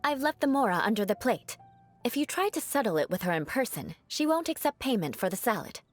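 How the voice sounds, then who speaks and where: An aloof highborn lady knight trading teasing barbs in a fantasy game, voice swooping, faint music behind her. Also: very animated, brisk. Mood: composed, polite. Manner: haughty, formal, teasing. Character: proud young noblewoman knight, aloof, teasing, mock-vengeful. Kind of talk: video game voice acting.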